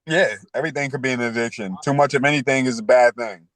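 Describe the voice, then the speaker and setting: A man's voice: mid-range voice, fast talker, fairly bright tone, no echo, low-quality recording. A man in his thirties speaking candidly as an interview guest, full of street slang and "you know.". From New York. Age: 30s. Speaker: podcast guest.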